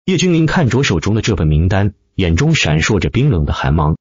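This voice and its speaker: A man narrates a dramatic tale in a deep voice that moves up and down with animation. His tone is a little rough and husky. The sound is dry and close, a little muffled. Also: very animated, fairly quick, dry recording. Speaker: audiobook narrator. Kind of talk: dramatic scripted web-novel narration.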